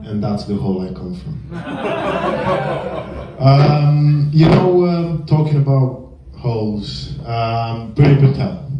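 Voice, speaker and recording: A young man from northern Romania with a Romanian accent, performing a halting, filler-heavy deadpan stand-up set in a small comedy club. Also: mid-range voice, far from the mic, noisy background. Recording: echoey room, heard from afar, dull sound. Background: audience laughter.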